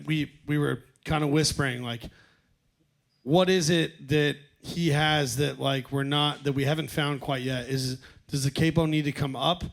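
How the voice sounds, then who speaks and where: Gravelly male co-host, mid pitch, steady mentoring tone. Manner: measured, encouraging, mentor-like. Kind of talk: casual feedback chat.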